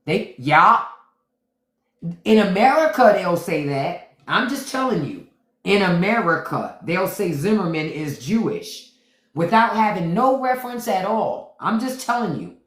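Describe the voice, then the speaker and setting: A woman's voice: mid-range voice, very animated, measured pace, a bit bright, echoey room. A woman hosting a casual livestream chat, emphatic, repeating her points and reading viewer comments aloud. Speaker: podcast host. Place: home room on a livestream.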